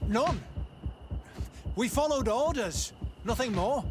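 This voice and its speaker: An actor playing a dutiful young soldier in a video game, ashamed yet obedient. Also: male voice, very high voice, animated, slow pace, noisy background, exaggerated voice.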